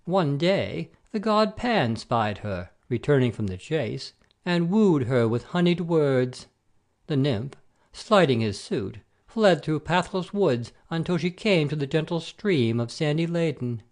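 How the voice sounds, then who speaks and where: A man plays a clever messenger god in the guise of a simple shepherd. His voice sits a little high and swings widely in pitch, telling things like a storyteller in an audio play. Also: unhurried. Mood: lyrical storytelling. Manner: expressive, storytelling delivery. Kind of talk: amateur audio play reading.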